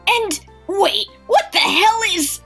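A woman's voice: very high voice, lively intonation, slow, vocal fry, a bit bright, full character voice. A woman voicing a hot-tempered, brash female fish-monster warrior in a comic dub, blunt and indignant. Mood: incredulous. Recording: voice over background music.

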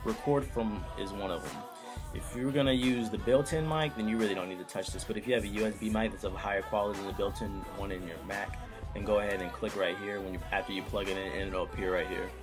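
A man's voice: fairly low pitch, steady tone, fast talker, over music. A young man hosting a casual computer tutorial, joking and talking straight to viewers. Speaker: YouTube tutorial host. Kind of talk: casual screen-recorded tutorial.

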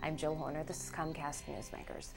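A woman's voice: deep voice, steady tone, fairly quick, vocal fry, over music. A woman in her thirties hosting a broadcast interview in a TV studio, with polished, professional delivery. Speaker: TV interview host. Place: indoor TV studio.

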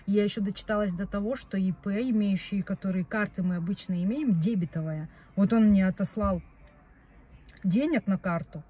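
A woman's voice: medium pitch, normal speed, warm tone, husky. A young woman talking casually to camera from a parked car, rambling and often correcting herself as she goes. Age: young adult. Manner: chatty, rambling, self-correcting. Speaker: vlogger. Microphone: phone camera on dashboard.

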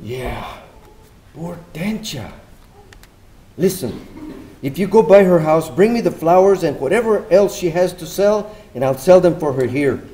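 Man playing an easygoing Mexican American market vendor, mellow. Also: on the high side, animated, measured pace, slight background noise. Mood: resigned sympathy. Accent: Mexican American, South Texas. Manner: switches between English and Spanish, sing-song hawking. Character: friendly street market chile vendor, man, easygoing, sympathetic. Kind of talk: live dramatic poetry reading. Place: lectern in a large meeting room with seated audience. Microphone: lectern microphone on stand.

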